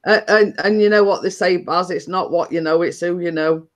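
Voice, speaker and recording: A middle-aged woman hosts a relaxed chat. She rambles through her story, often stops to chuckle, and uses dialect turns like "were" and "me day". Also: lowish voice, brisk. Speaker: host. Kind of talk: casual chat.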